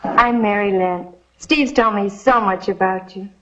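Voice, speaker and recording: A woman's voice: medium pitch, unhurried, lightly acted. An American actress playing a gracious, elegant young society lady in an old TV western. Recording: dull, noisy vintage TV soundtrack.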